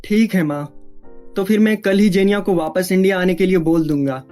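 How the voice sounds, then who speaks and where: A woman's voice: on the low side, moderate pace, dark tone, faint music behind, natural voice. A woman playing a dutiful, firm young husband and son in an earnest family story. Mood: caring.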